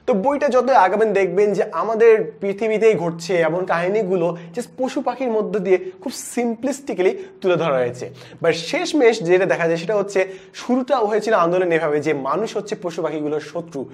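A man in his twenties with a Bangladeshi accent, presenting a book review in an animated, explanatory way with English words mixed in. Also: high-pitched. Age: 20s. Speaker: book reviewer, educational video host. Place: indoor studio with plain backdrop. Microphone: lapel mic.

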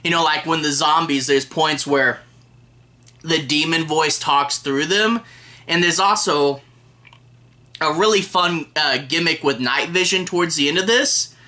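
A man's voice: a little high, unhurried, pushed and bright. A man in his thirties chatting enthusiastically and casually to camera as a film reviewer. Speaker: movie reviewer, host. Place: small room lined with DVD shelves.

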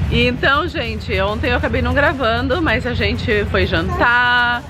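Woman, 30s, travel vlogger; high, bubbly chatter; street crowd audible. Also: takes it slowly, rough recording. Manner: chatty, laughs often, talks to viewers. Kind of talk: casual travel vlog chat. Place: outdoors on a busy city street. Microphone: handheld phone at arm's length. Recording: street crowd and music behind.

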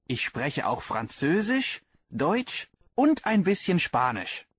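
A man voicing a young man student who introduces himself in slow, carefully spoken model sentences. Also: a little high, very animated, slightly rough, dry recording, studio quality, heavily acted.

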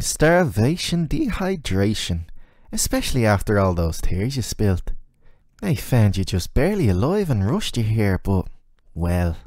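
A man's voice: medium pitch, darkish tone, dry recording, clean studio sound. A man voicing a flirty, sardonic, ageless male demon who teases the listener in casual, slangy, intimate roleplay audio. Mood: mock sympathy.

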